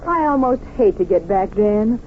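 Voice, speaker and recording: A woman's voice: medium pitch, expressive, moderate pace, phone-quality sound, natural voice. An actress playing a detective's earnest, wary young female companion in an old-time radio drama. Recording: thin, noisy vintage radio recording.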